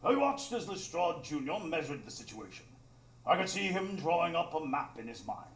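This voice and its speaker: Man acting an earnest gentlemanly storyteller, lively pitch, literary phrasing, live radio play, faint noise. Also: moderate pace, gravelly. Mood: reflective, dramatic. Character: earnest gentlemanly male doctor-narrator recounting adventures. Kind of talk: dramatic scripted narration. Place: small improv theatre stage. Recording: roomy, noisy live stage recording.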